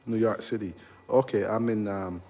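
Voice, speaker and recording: A man in his forties, an electrical contractor hosting a casual live stream as he walks through a big unfinished house, answering viewers in a halting, chatty way full of ums and uhs. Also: fairly low pitch, fairly even intonation, mellow, slight background noise. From Barbados. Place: large unfinished house under construction, indoors.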